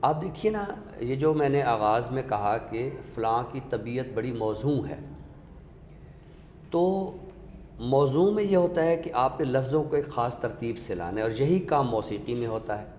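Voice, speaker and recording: A man with a Pakistani accent explaining literature in a scholarly, deliberate way, often by posing questions and giving examples. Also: mid-range voice, animated, a little mellow. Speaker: literary expert on a talk show. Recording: echoey hall reverb with background noise.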